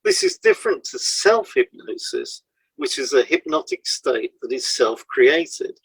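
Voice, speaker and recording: A man presenting a scripted educational podcast in a measured, explanatory way, setting out items one by one. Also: medium pitch, wildly swooping pitch, vocal fry, breathy edge, dry recording, low-quality recording.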